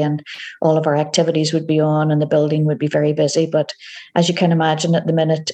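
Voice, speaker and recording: A woman with a Northern Irish accent, a community organisation director, giving calm, measured answers as a podcast interview guest. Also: sits low. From Northern Ireland.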